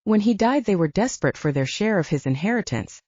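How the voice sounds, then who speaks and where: Narrator playing a candid, wounded woman ranger, lively pitch. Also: fairly quick, slightly rough, no echo, highs cut off. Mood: bitter, confiding. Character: wounded, self-reliant human woman ranger confiding family troubles. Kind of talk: audiobook character dialogue.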